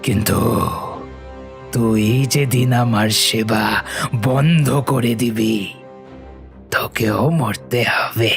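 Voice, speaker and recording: A man voicing a menacing, gloating flesh-eating ghost in a horror cartoon, with drawn-out, threatening delivery. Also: medium pitch, gravelly, faint music behind.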